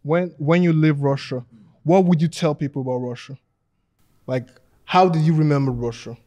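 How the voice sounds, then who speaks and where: A man's voice: on the high side, steady tone, normal speed, warm tone. A man hosting a casual chat, prodding guests with questions and switching to English. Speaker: host.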